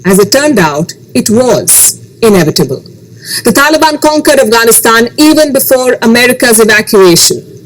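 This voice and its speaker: High-pitched woman delivering news commentary. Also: lively intonation, low-quality recording. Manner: emphatic, rhetorical questions, measured dramatic pauses. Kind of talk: scripted news commentary to camera.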